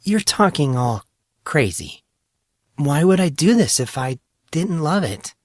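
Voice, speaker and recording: A man's voice: on the high side, big pitch swings, slow pace, no room sound, clean studio sound, pitched above the performer's own voice, full character voice. A man voicing a poised, teasing young woman celebrity in a narrated fantasy story. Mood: defensive.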